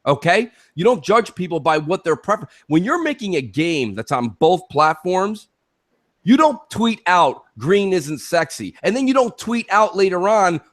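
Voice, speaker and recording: A man's voice: high-pitched, sing-song, normal speed, a bit bright. A man co-hosting a gaming talk show, speaking emphatically in opinionated, rhetorical bursts and often checking in with "okay" and "listen".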